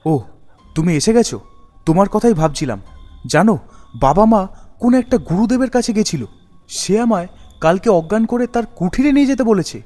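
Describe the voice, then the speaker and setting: A man voicing a troubled, trusting young son in an animated horror story, with reverb and music. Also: sits high, fairly quick, pauses often. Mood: worried. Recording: long hall reverb, music underneath.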